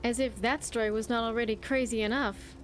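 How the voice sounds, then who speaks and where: A fairly high-pitched young female vault survivor , played by a woman, confides in scripted game dialogue. She is earnest and wistful, with careful, formal wording. Rainy ambient noise sits faintly behind her voice. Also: steady tone, a little fast, close to an everyday voice. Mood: wistful, sorrowful. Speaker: game companion character.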